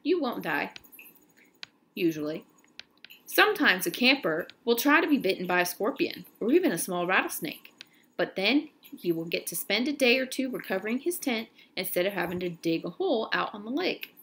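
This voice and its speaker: A woman reading a children's novel aloud in an expressive storytelling style, now and then stumbling and correcting herself. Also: medium pitch, normal speed.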